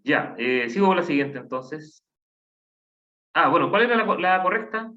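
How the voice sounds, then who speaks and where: A man's voice: a little high, expressive, normal speed, pushed and bright. A man teaching a math class online, patiently counting aloud and asking the class questions. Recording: dull lo-fi online class audio.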